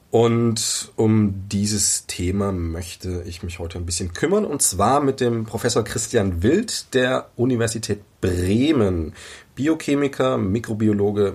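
Husky low-voiced male podcast host. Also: darkish tone. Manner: loose, chatty, filler-heavy questions. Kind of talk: casual interview moderation.

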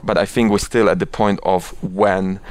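A man's voice: low-pitched, fairly even intonation, normal speed, a little hiss or hum. A middle-aged man with a Polish accent giving conversational interview answers, often restarting and repeating his words. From Poland. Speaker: interview guest, advertising executive. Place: office.

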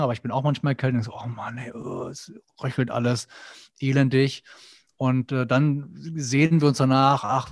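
A man's voice: mid-range voice, a little mellow, no room sound, clean studio sound. A middle-aged man guesting on a podcast, talking earnestly and with animation, often acting out little quoted inner voices. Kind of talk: reflective conversational interview.

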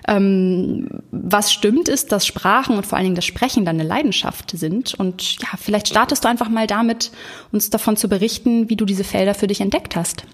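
A woman's voice: medium pitch, moderate pace, husky. A woman in a mid-range voice hosts a conversation. She asks long, open questions and reacts with interest to her guest's answers. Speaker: host.

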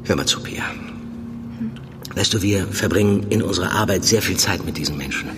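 An actor playing a middle-aged undercover police handler in an audio drama, speaking in measured, deliberate phrases as a controlled, probing mentor. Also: male voice, low-pitched, background noise, close to an everyday voice. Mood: earnest, understanding. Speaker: actor in fiction podcast. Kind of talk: naturalistic German audio drama acting.

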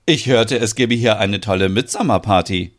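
A man voicing a composed, affectionate adult woman in an audio story, reassuring and gently teasing. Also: fairly low pitch, normal speed, lightly acted. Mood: playful.

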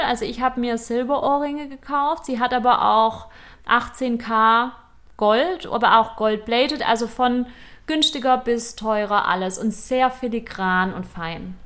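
A woman's voice: a little high, a bit bright. A woman co-hosting a fashion podcast, telling shopping stories in a chatty, self-deprecating way. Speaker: podcast co-host. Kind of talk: casual chat.

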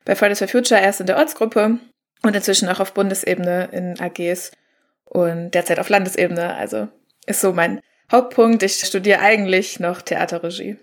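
Teenage woman, mid-pitched, casual. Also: fairly even intonation, moderate pace. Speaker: panel guest, climate activist. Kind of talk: casual interview talk.

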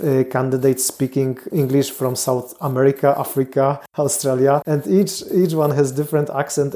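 A man's voice: medium pitch, warm tone. A man with a strong Polish accent, a Mars mission candidate answering interview questions in a thoughtful way, often stopping to restart. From Poland. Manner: thoughtful, frequent restarts and pauses.

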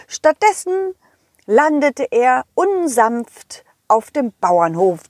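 A woman's voice: a little high, no room sound, clean studio sound, heavily acted. A woman voicing a friendly, eager male dog who narrates a children's audio story expressively, speaking directly to the kids. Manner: expressive, addresses children directly, halting delivery. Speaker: host and narrator.

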